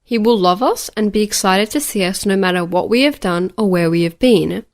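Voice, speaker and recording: A woman narrates a children's Bible story from a script. Her voice sits at an average female pitch and she tells it simply, line by line. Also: normal speed.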